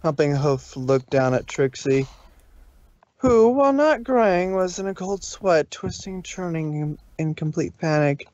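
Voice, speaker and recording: Higher-pitched man narrating, dry and dull. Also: animated, a little slow, darkish tone, dry recording. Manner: stumbles over words while reading. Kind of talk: amateur story narration.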